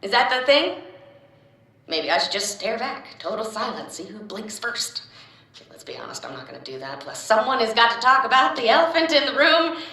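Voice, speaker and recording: Audio drama captive: chatty American test subject, jokey and rambling, bright voice in a strongly echoing box. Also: female voice, a little high, sing-song, moderate pace, full character voice. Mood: wry, chatty. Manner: rambling, motor-mouthed, jokey. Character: chatty, nervy, wisecracking female prisoner test subject. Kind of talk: naturalistic audio drama acting. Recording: very echoey, boxed-in room sound.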